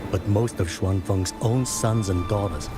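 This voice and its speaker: An actor playing a kindly old village chief in a video game, telling things in a solemn, measured way. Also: male voice, lowish voice, over loud music, lightly acted. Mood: solemn remembrance. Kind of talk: dramatic game voice acting.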